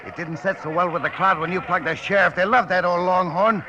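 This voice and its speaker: A sardonic frontier outlaw, acted by a man, with a fairly high voice. He talks shrewd, scornful cowboy slang in vintage radio drama style over clear noise. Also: steady tone, a little fast, highs cut off, close to an everyday voice. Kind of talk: 1950s radio western acting. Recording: noisy old radio recording.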